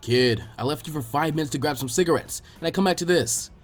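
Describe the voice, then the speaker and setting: A man voicing a laid-back, gruff grown ninja teacher with a dry, unhurried manner. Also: on the high side, faint music behind, lightly acted. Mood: dry, resigned.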